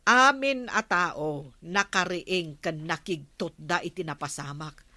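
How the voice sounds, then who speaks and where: A woman's voice: fairly low pitch, slow pace, sharp tone, no echo. A woman with an Ilocano accent playing a village captain's nagging, exasperated wife in a radio comedy drama. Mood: scolding.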